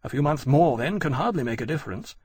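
Man acting an anxious, husky polite gentleman. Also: a little high, lively intonation, quick pace. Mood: anxious reasoning. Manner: formal, careful phrasing.

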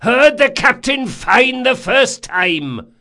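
A man voicing a wryly insolent, hard-drinking sailing master in an audiobook, calling back loudly. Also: very high voice, sing-song, slow, voice pushed up, heavily acted. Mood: wry, shouting. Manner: loud, wry shouted replies. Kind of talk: audiobook character acting.

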